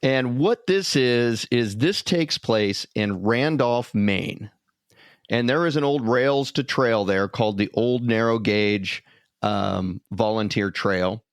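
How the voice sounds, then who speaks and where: A man's voice: medium pitch, slow pace, dry recording, clean studio sound. A man co-hosting a podcast in a casual, conversational storytelling style. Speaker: podcast co-host.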